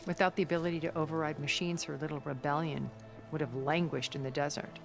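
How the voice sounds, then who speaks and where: An actress playing a worldly, regretful, scheming middle-aged woman of a far-future elite in a video game, speaking in a measured, articulate, theatrical way. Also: low voice, fairly even intonation, vocal fry, faint music behind. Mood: sly confidence. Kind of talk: dramatic video game cutscene acting.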